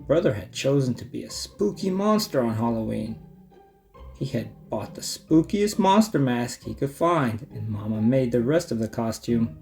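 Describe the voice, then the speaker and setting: A man narrating a children's storybook in an expressive storytelling manner. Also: mid-range voice, normal speed, creaky, soft background music. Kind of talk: children's read-aloud, scripted narration.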